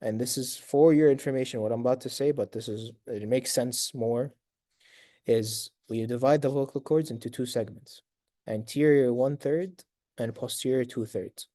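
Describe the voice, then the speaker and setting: Male medical lecturer, raspy and dark-toned, dry close sound, brisk quizzing manner. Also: mid-range voice, dry recording. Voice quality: raspy from long talking. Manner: quizzes students, praises answers briskly; sprinkles Arabic fillers like tamam, inshallah. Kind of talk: lecture to students.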